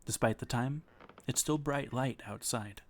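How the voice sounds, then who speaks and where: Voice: mid-range voice, unhurried. A streamer casually narrating and reacting to a story game, exclaiming at choices. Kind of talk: casual let's-play chat and narration.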